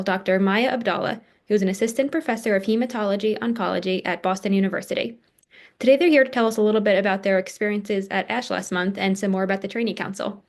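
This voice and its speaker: A woman hosts an interview show and moves briskly through her questions. Her voice sits in a middle range. She reads polished, upbeat introductions without a stumble. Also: mid-range voice. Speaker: podcast host. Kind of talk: scripted interview host.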